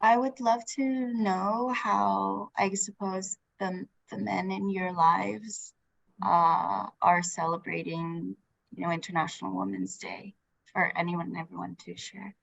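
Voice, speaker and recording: A woman's voice: mid-range voice, a little slow, creaky, fairly bright tone, highs cut off, slight background noise. A woman whose first language is Tagalog, talking casually in a group discussion, rambling and thinking aloud with plenty of "like".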